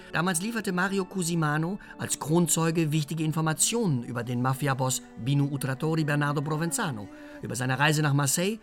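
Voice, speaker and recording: A man's voice: fairly high pitch, lightly acted. An actor playing an earnest, explanatory male radio host in a radio drama.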